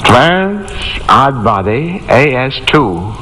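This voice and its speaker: An actor playing a gentle, naive, elderly guardian angel in a vintage radio drama, quaint and earnest in manner. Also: male voice, medium pitch, big pitch swings, slow pace, heavily acted. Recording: vintage radio, long reverb, faint noise.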